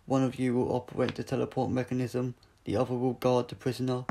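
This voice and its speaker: A man voicing a merciless metallic robot leader in an audiobook, giving stiff, booming commands. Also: completely flat delivery, quick pace, vocal fry, dark tone, slight background noise, natural voice. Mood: cold, commanding.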